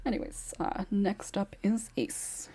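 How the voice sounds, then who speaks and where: A woman's voice: mid-range voice, takes it slowly, mellow, husky. A woman who writes scripts, chatting casually on a podcast and rambling with lots of fillers.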